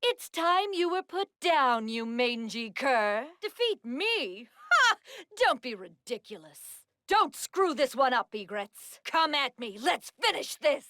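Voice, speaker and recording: An actress voicing a brash, haughty, short-tempered royal fighter in a video game, with an imperious, taunting delivery. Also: female voice, high-pitched, big pitch swings, slow, sharp tone, no echo, clean studio sound, full character voice. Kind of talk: video game character battle barks.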